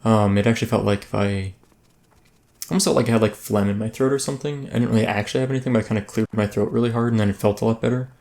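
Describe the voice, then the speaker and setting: A man's voice: on the low side, fairly even intonation, very fast, darkish tone. A man hosting a let's play, giving casual, rambling gameplay commentary that keeps breaking off mid-thought. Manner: rambling, self-interrupting, chatty.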